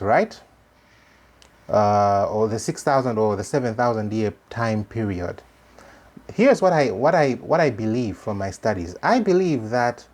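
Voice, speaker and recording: A male host with a Malawian accent and Chichewa as his first language shares informal religious commentary. His voice sits at an average pitch. He speaks earnestly and haltingly, often circling back over a phrase to stress it. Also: a little mellow.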